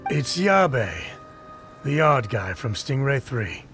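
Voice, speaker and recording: A man's voice: mid-range voice, sing-song, slow pace. A man playing a dutiful, no-nonsense soldier captain in a video game, speaking in a matter-of-fact, businesslike way. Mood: dry, wary. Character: dutiful, no-nonsense male acting army captain. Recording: music behind the voice.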